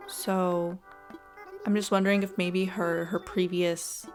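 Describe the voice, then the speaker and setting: A woman's voice: lowish voice, little pitch movement, takes it slowly. Chatty woman narrating gameplay over music. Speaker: gaming video host. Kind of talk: casual gameplay commentary.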